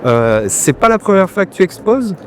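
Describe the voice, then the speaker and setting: A man's voice: high-pitched, very animated, moderate pace, slight background noise. A man interviewing an artist in a friendly, informal way, often repeating words as he asks questions. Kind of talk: casual interview at an art fair.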